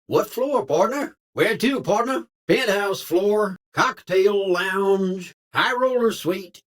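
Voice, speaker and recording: A hearty cowboy robot for a video game, with a husky edge to his Western American drawl. His pitch leaps and dips dramatically as he plays it folksy, eager and a little sly. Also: a bit bright, heavily acted.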